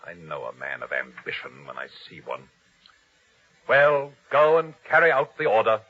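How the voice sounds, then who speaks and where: A man's voice: on the low side, wildly swooping pitch, normal speed. An actor playing a fearful, angry, scheming king with an imperious, commanding manner in a 1950s radio drama. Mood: cynical, scornful. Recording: dull old radio recording.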